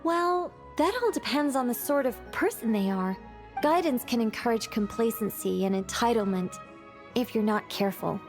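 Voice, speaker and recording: A woman's voice: on the high side, normal speed, natural voice. A woman voicing an ageless female mage in a game cutscene, a fallen angel who tells stories in a theatrical, musing, self-assured way with wry wistfulness. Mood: playfully proud. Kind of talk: scripted game cutscene dialogue. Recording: background music.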